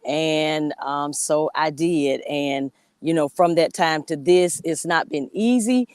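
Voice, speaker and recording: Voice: mid-range voice, slow, clean tone, no room sound. Motivational speaker, earnest and reflective, very dry sound, video call. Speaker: motivational speaker, show host. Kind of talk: guest talk on an online show.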